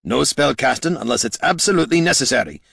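A man voicing a gruff, exasperated leader of fantasy adventurers, barking his lines in a storybook. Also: mid-range voice, animated, quick pace, fairly bright tone, no echo, clean studio sound, voice pushed up, exaggerated voice. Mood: commanding.

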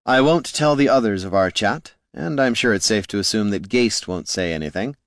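A man's voice: on the low side, animated, moderate pace, no echo, studio quality. A man voicing a smug, romantic bard with a theatrical, lingering delivery in an audiobook. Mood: smugly discreet. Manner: theatrical, sighing, lingering on words. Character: whimsical romantic male bard, infuriatingly smug.

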